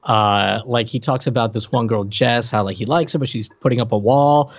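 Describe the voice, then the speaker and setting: A man's voice: medium pitch, moderate pace, no echo. Casual, slangy man on a dull dry mic. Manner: informal, slangy, amused. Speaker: podcast co-host. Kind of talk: casual comedic chat about a reality TV show.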